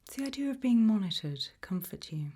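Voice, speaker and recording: A British woman playing a calm, probing investigator in an ASMR roleplay, asking gentle, measured questions in a breathy whisper. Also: fairly high pitch. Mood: calm, curious. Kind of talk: ASMR roleplay, whispered and soft-spoken interview.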